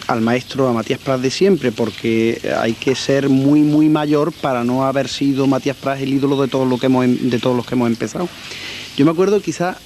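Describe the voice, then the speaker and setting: A man interviewing a guest on local television, asking admiring, halting questions full of restarts. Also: mid-range voice, dark tone. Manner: hesitant, admiring, many restarts. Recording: faint background hiss, 1980s local TV.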